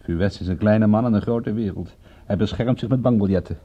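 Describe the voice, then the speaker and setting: An actor in a radio drama playing a wry, stubborn private detective with an offhand way of talking. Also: male voice, lowish voice, brisk, natural voice. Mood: wry, confident. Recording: muffled, dull old radio recording.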